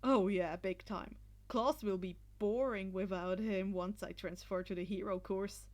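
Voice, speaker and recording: A woman's voice: medium pitch, steady tone, a little slow, pitched below the performer's own voice, natural voice. A woman voicing a cool, confident teenage schoolboy with a casual manner in an amateur story reading. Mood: amused, confident. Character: cool, dry, laid-back teenage schoolboy. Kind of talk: amateur fan fiction audio reading.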